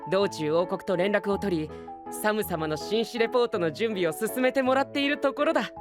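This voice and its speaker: A man playing an earnest, boyish gentleman knight who speaks formally and effusively in an anime audio drama, tossing in English exclamations. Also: high-pitched, normal speed, music in the background, natural voice. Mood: polite, eager.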